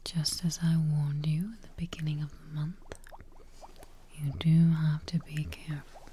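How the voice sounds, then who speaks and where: A young woman plays a kindly witch in an ASMR role play, tending a guest in a breathy near-whisper. The voice is very dark and mellow and moves at an unhurried, slow pace. Clear background noise sits behind the close, soothing delivery. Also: sits low, level tone. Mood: gentle caution. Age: young adult. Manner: slow, gentle, reassuring, caring. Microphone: close ASMR microphone.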